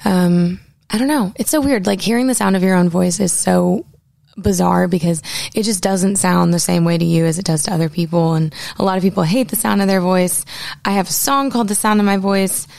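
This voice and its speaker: A young woman hosts her own podcast, talking loosely and casually with plenty of "like"s. Her low voice has a gravelly rasp to it. She sits close to the mic in a dead, dry room. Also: brisk, darkish tone, no echo. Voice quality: low raspy speaking voice. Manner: chatty, lots of "like" fillers. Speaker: podcast host. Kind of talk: casual solo podcast chat. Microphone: headphones on, close studio mic.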